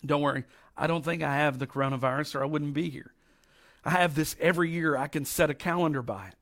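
A middle-aged man with a raspy voice from allergies, preaching a folksy, emphatic sermon with slow drawn-out stresses. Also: fairly high pitch, fairly bright tone. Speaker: pastor.

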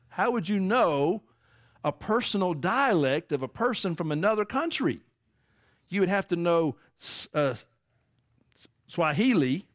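A man's voice: high-pitched, a bit bright, no room sound, studio quality. A man preaching a sermon, teaching emphatically and asking the congregation rhetorical questions. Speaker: pastor.

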